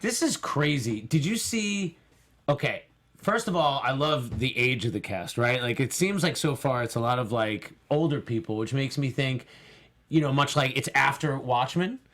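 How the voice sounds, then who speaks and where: A man's voice: medium pitch, expressive, moderate pace, a bit bright. A middle-aged man co-hosting a casual panel podcast in a small studio, speaking enthusiastically into a close mic. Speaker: podcast co-host. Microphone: close studio mic with foam cover.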